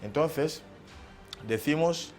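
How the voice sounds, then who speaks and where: A man from Equatorial Guinea speaking as a guest on a studio panel, giving measured advice and quoting proverbs. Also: fairly high pitch, faint music behind.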